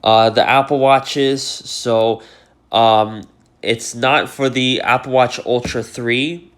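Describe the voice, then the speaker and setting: Brief: male tech vlogger, congested from a cold, casual and rambling, mid pitch. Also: steady tone, slow pace. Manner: rambling, lots of fillers like "uh" and "you know". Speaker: tech YouTuber. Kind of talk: casual talk to camera.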